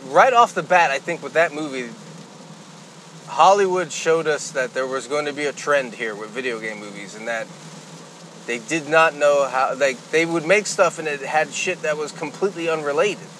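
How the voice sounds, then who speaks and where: A man's voice: fairly high pitch, moderate pace, fairly bright tone, slight background noise, low-quality recording. A man co-hosting a podcast in casual, loose chat with some mild swearing. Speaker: podcast co-host.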